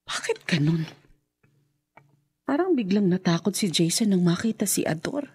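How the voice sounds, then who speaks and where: An actress playing an anxious older sister and suspicious wife in a radio horror drama, emotional and insistent. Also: female voice, fairly low pitch, expressive, normal speed, a little mellow, breathy edge. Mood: worried suspicion.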